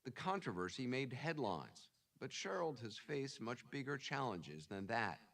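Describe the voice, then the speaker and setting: A man narrating a scripted news feature in a measured, formal manner. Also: medium pitch, big pitch swings, a bit bright. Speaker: broadcast news reporter. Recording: distant sound from a clip played back.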